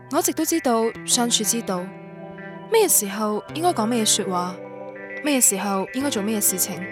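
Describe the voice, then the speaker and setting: An actress playing a proud, romantic young student from a radio drama, narrating in Guangdong Cantonese in a wistful, confessional way. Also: female voice, medium pitch, natural voice. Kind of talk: scripted radio drama monologue. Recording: music under the voice. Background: music.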